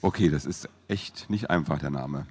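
A man's voice: very deep voice, moderate pace, darkish tone, breathy edge. A man chatting informally as an interviewer, in a loose conversational manner. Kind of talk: casual interview chat.

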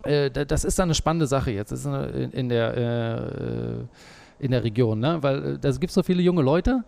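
A man's voice: medium pitch, moderate pace, gravelly, mellow. A man as the interview guest, a small business owner, talking through his work in a hesitant way with many fillers. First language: German. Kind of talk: conversational interview.

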